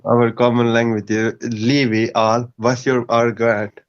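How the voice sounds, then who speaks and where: Pakistani guy calling in, mid pitch, pushy, keeps saying brother, kinda muffled lo-fi audio. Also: little pitch movement, a little slow, smooth. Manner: insistent, calls everyone brother. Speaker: caller. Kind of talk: debate call-in.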